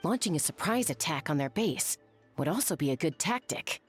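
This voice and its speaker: A low-pitched female warrior of a video game, cool and decisive. Her delivery is bold and capable, yet moves widely in pitch. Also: big pitch swings, moderate pace, breathy edge, faint music behind, exaggerated voice. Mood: confident.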